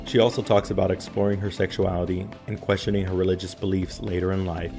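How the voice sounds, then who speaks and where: A man's voice: low-pitched, little pitch movement, fast talker, vocal fry, warm tone, faint music behind. A man hosting a podcast, reading a scripted intro and then asking hesitant questions full of uhs. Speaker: podcast host.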